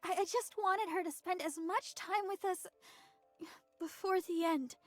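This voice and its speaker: A woman voicing a timid, soft-hearted young girl soldier in a game, speaking haltingly and apologetically. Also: very high voice, no room sound, soft background music. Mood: guilty. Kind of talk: scripted game voice acting.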